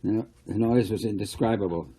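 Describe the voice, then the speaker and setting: Male author-narrator for a novel, unhurried, soft-toned and raspy. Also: fairly low pitch, poor audio. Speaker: author reading own novel. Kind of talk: scripted audiobook narration.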